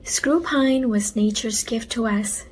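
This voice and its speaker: A woman voicing a gentle, weary, caring mother in a children's storybook, soothing in manner. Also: on the high side, slow pace, a little hiss or hum. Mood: explaining.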